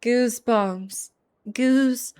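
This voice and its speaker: A woman's voice: a little high, slow, a little mellow, clear, resonant tone. A woman game streamer giving casual playthrough commentary and reading dialogue aloud, musing and reactive.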